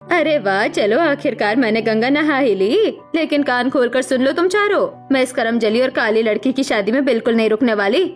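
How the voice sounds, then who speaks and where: A woman's voice: fairly high pitch, normal speed, faint music behind, close to an everyday voice. A woman voicing a proud, emphatic middle-aged mother in a melodramatic Hindi cartoon story. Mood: scornful. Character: harsh, scornful, status-proud mother, later tearfully remorseful.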